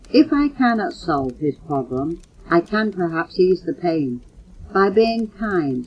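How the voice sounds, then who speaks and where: An older woman reading poems aloud in a measured, earnest way, recorded on a muffled old home cassette. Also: low-pitched, husky. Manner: measured, earnest recitation, announcing titles and authors. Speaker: mother reading poems for her children. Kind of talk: recited poetry reading.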